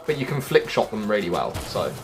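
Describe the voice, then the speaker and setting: A man's voice: lowish voice, very animated, brisk, soft background music. A man giving casual gameplay commentary as a video host, informal and a little stumbling in how he talks.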